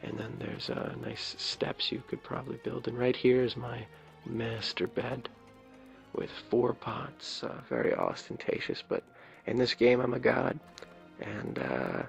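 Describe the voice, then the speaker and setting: A man with a low raspy voice giving rambling, playful ASMR commentary as he plays a video game. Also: very animated, unhurried, soft background music. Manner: rambling, playful, jokingly self-important. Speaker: game streamer. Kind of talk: ASMR let's play commentary.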